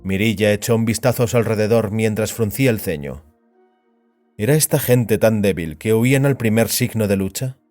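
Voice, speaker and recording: A man narrating a dramatized story as scripted fiction, in a grave, deliberate storytelling manner. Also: lowish voice, a little mellow. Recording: music behind the voice.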